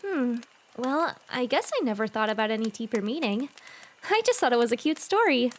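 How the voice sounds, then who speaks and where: A woman playing a sweet, excitable teenage girl with a crush in a fan audio drama, expressive and earnest. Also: a little high, a little fast, a little hiss or hum. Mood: cheerful, curious.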